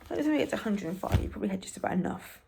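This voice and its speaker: Soft-spoken raspy British woman. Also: medium pitch, expressive, background noise. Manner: soft-spoken, halting, wry asides. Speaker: ASMR creator. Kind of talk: soft-spoken ASMR newspaper reading.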